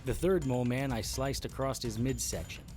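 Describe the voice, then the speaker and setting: A man's voice: mid-range voice, moderate pace, a little mellow, soft background music, close to an everyday voice. A man playing a tough, defiant private investigator who hunts monsters, narrating an audio drama in a matter-of-fact, hard-boiled way. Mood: grim, intense.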